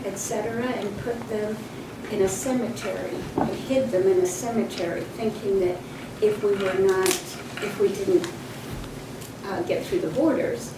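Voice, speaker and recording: Older woman recalling memories in a roomy, noisy recording. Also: mid-range voice. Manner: reflective storytelling with fillers and restarts. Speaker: interviewee. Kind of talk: oral history interview. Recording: roomy, distant mic, loud noise.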